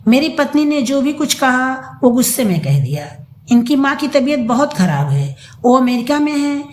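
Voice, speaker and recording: Female narrator as scheming young husband: ingratiating, smooth-talking, lively intonation, audio-story style. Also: high-pitched, moderate pace. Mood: flattering apology. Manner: ingratiating, soft-soaping.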